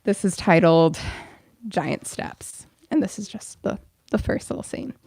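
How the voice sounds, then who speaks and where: A woman's voice: on the low side, measured pace, creaky, darkish tone, no echo. A young woman, a bookstore events director, welcoming an audience and then reading her own fiction aloud at a store event.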